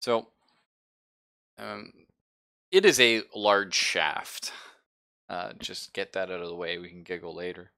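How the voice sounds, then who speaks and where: Deep, bright-voiced male game master. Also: takes it slowly, vocal fry, pauses often. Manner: casual, sweary, jokey. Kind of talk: casual tabletop game narration.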